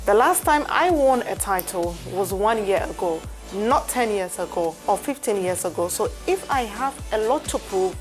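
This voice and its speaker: A woman sports presenter delivering a live news segment with studio chat, pausing often as she goes. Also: mid-range voice, faint music behind. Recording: steady background noise.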